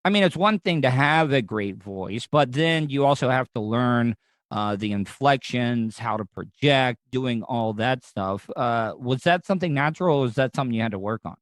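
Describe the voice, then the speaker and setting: A man's voice: mid-range voice, animated, normal speed, no echo. Rambling American man hosting, dry sound. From United States. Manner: rambling, talks in looping asides. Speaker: podcast host. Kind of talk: casual interview chat.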